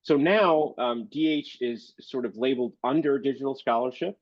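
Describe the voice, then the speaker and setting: A man's voice: medium pitch, moderate pace, dry recording. A man giving a library presentation while congested from illness, hesitant with many fillers. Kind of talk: online presentation. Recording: dull, dry video-call audio.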